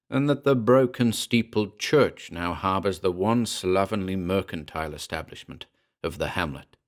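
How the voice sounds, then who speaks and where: A man with a fairly low voice presents and narrates classic horror fiction. His delivery is scripted, measured and formal, with deliberate phrasing suited to an old literary tale.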